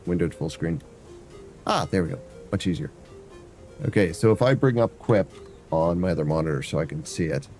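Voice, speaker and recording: A man's voice: low voice, moderate pace, long pauses, darkish tone, soft background music. A man with a stuffy nose chatting casually and rambling while he walks through a game on a live stream. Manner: rambling, thinks aloud, hums to himself. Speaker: variety streamer. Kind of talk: casual gameplay commentary.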